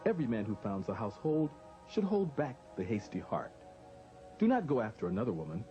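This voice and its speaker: A man reading scripted documentary narration in a measured, formal, expressive way. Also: medium pitch. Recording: dull lo-fi audio with music.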